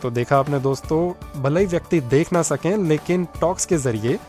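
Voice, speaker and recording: A man's voice: on the high side, moderate pace, darkish tone, soft background music. A man co-hosting a radio program, explaining how a phone feature works in a friendly way. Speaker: radio show co-host. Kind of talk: scripted radio demonstration.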